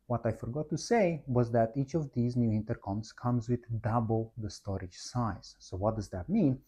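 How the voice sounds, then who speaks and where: A man's voice: mid-range voice, dark tone, breathy edge. A man narrating a product walkthrough, explaining methodically, one step at a time. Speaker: product presenter, installer.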